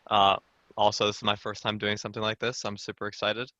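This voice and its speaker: Low, flat-voiced young man. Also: no room sound, muffled recording. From Texas. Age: young adult. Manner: eager, quick.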